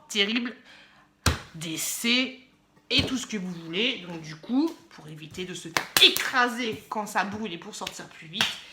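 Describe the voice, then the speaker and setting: A woman's voice: medium pitch, pushed and bright, a little hiss or hum. A woman chatting casually to camera for a vlog, playful and self-mocking, often repeating her phrases as she rambles. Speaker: vlogger.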